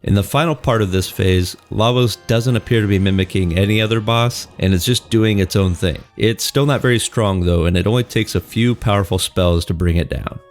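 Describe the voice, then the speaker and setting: A man's voice: on the low side, a little fast, hardly any pauses. A man with a stuffy head cold, hosting a let's play and chatting casually as he plays and narrates. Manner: relaxed, chatty, thinks aloud while playing. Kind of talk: game commentary and voiceover narration. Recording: faint game music behind.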